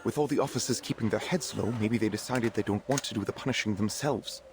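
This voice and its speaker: An actor playing a world-weary German army captain in a war game, cynical and gruff as he commands. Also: on the low side, quick pace, noisy background, natural voice. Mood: cynical, commanding.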